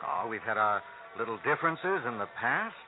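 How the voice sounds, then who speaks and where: A man's voice: mid-range voice, big pitch swings, moderate pace, natural voice. A man playing an uneasy, touchy racket boss with an American accent in a radio crime drama, wary and pulling rank. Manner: hesitant, wary, turning curt and bossy. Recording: tinny old radio recording, music behind.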